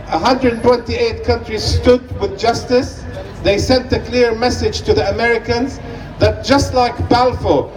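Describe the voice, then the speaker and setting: A middle-aged Palestinian man from Jerusalem giving an earnest, deliberate political speech at a protest. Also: high voice. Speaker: protest speaker, professor. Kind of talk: political speech at an outdoor rally. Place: outdoor city centre protest. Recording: distant, echoey, noisy outdoor street recording.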